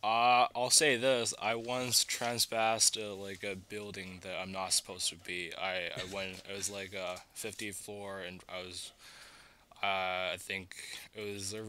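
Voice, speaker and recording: A teenage student from China, a young man chatting casually as a podcast guest, haltingly and repeating words as he goes. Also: deep voice, level tone, sharp tone, a little hiss or hum. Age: teens, a student. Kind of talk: casual chat.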